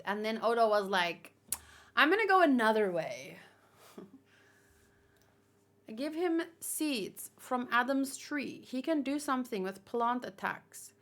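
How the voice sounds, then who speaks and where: High-voiced woman, casual commentary. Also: a bit bright. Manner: informal, opinionated rambling. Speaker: manga read-along host. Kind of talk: casual live commentary, reading and answering chat.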